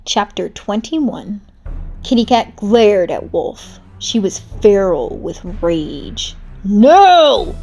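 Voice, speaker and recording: A woman reading a children's storybook aloud, with animated, dramatic narration. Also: medium pitch, slow pace. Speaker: storybook reader.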